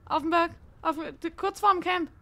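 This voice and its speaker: A woman's voice: very high-pitched, fairly even intonation, a little slow, fairly bright tone. A woman livestreamer improvising role-play in a game, talking in a panicky way and repeating her phrases. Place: indoor streaming room.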